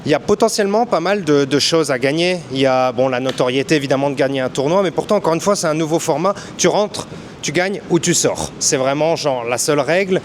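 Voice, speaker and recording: A podcast host interviewing a fighter in a gym, building long winding questions full of hesitations. His voice sits slightly high, and a low rumble and faint noise hang in the background. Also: brisk. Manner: rambling questions with many fillers. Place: boxing gym.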